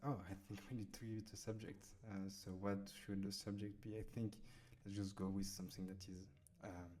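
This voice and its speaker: A man with a French accent, casually talking through a software tutorial at his computer, pausing often with fillers as he types. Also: on the low side, flat delivery. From France. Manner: hesitant, many fillers while typing. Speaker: software demo host. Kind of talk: casual screen-recorded tutorial.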